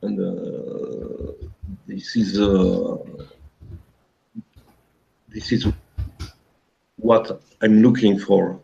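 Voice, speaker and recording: A French man in his fifties, a programmer guest in an interview, speaking English slowly with many pauses to search for words. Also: low voice, steady tone, vocal fry, dark tone, muffled recording. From France. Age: 50s. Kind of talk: casual interview over a call.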